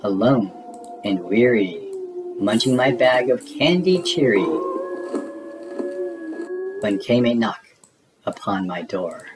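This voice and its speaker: A man reading a spooky rhyming children's story aloud in a theatrical, deliberate way, as a storybook narrator. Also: on the low side, lively intonation, background noise.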